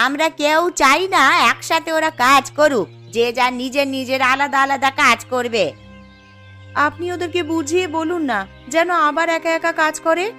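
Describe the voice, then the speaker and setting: Cartoon village wife, young, jealous and argumentative, played by a woman; high, piercing voice over music. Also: bright, forceful tone. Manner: indignant, challenging, argumentative. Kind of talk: cartoon story dialogue. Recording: music behind the voice.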